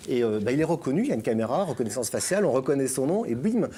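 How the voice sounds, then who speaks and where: A man's voice: mid-range voice, very animated, racing, crowd noise. A middle-aged man from France, talking as a guest columnist in a radio chat, hesitant with many fillers and restarts. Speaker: guest columnist on digital topics.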